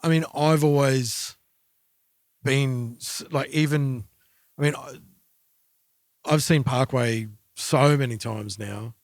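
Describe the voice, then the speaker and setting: A man talks casually as a podcast co-host. His voice sits at an average male pitch, and he picks through his thoughts in a measured, slightly hesitant way. The sound is dry, with no room on it. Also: dry recording. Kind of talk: casual music discussion.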